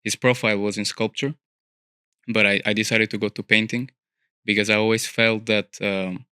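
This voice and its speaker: A man's voice: on the low side, little pitch movement, normal speed, sharp tone, smooth, no echo, studio quality. A young man from Albania, an architecture student, chatting as a podcast guest in a thoughtful way with many fillers and restarts. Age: young adult. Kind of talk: casual interview conversation. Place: studio.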